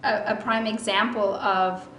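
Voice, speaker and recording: A woman from Ethiopia, raised in Rome, sharing her story in a church testimony video in a quiet, reserved and gentle way. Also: mid-range voice, fairly even intonation, a little slow, soft background music. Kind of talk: personal testimony, interview-style. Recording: echoey room recording.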